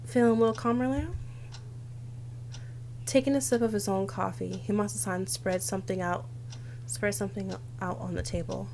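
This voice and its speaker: Young woman, game streamer, mid-pitched, dramatic read-aloud, casual commentary, slight background noise. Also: steady tone, moderate pace, gravelly, pauses often, darkish tone. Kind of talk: reading visual novel text aloud with casual commentary.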